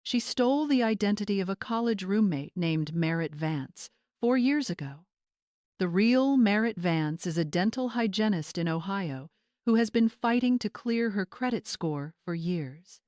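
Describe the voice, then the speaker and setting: A wealthy older mother from a family drama story, read by a woman. She is steely and controlled. Her words are measured and stern, in a dry recording. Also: medium pitch, darkish tone, dry recording, natural voice.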